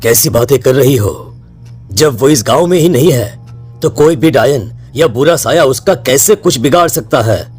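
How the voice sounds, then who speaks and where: A middle-aged village headman from a horror cartoon, played by a man, with a medium-pitched voice. He sounds grave, protective and uneasy as a father. Faint music sits behind him. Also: animated, moderate pace, breathy edge. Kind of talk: Hindi cartoon voice acting.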